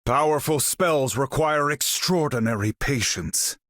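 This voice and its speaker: A proud male sorcerer supreme from a video game. His delivery is grand and formal, as if every line were an incantation, with very animated pitch swings. The sound is dry and close. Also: measured pace, dry recording. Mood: proud, solemn. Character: proud, battle-ready sorcerer supreme, grandly theatrical hero. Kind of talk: video game character voice lines.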